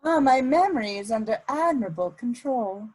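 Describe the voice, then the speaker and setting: A woman's voice: a little high, animated, normal speed, darkish tone, poor audio. A woman playing a witty, scheming society lady in a period stage comedy, speaking in an arch, coy, polished way. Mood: coy, teasing.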